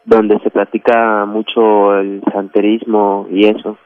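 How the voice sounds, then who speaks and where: A man calling a radio show, telling a personal story in a halting, colloquial way. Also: on the low side, completely flat delivery, dry recording, faint music behind. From Venezuela. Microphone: telephone. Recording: dull, dry phone call to radio.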